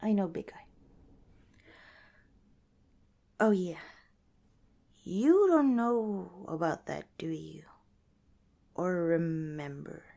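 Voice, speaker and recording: A woman playing a kind, cautious young scavenger in an ASMR roleplay, speaking softly and hesitantly, as if chatting to the listener. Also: fairly low pitch, takes it slowly, vocal fry, long pauses. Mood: candid, relaxed. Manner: soft, hesitant, conversational, with sighs and small laughs.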